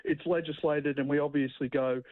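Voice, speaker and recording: A man answering radio interview questions haltingly as a company spokesman over a phone line. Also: on the high side, steady tone, dry recording. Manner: halting, with frequent repeated words. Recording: thin, band-limited phone line.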